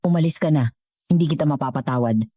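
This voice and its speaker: Lady playing an old hurt mother in a story, stern n grave, low voice, terse. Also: fast talker, warm tone, no room sound, studio quality. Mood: cold, bitter. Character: hurt, stern elderly mother, a wealthy matriarch.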